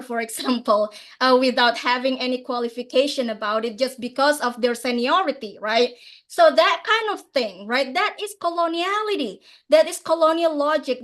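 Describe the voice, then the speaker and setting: A woman's voice: high-pitched, fairly bright tone. A woman with an Indonesian accent giving a passionate academic conference talk in English, often ending points with "right?", heard over a remote call. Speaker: conference speaker.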